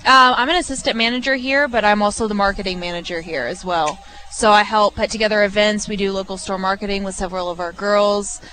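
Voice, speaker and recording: A woman's voice: medium pitch, steady tone, fast talker, bright, forceful tone, a little hiss or hum, rough recording. Young woman from arkansas chatting on live radio at a bar, upbeat and easygoing, lots of ums. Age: 20s. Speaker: guest, restaurant assistant manager. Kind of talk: casual on-air chat. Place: restaurant bar, on location.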